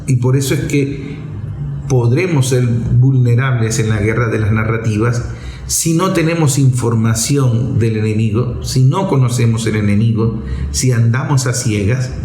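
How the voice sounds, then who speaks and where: A man's voice: mid-range voice, a little mellow. Venezuelan man's political commentary, echoey room. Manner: didactic, deliberate phrasing in short emphatic segments with repetition. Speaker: host of a political commentary show.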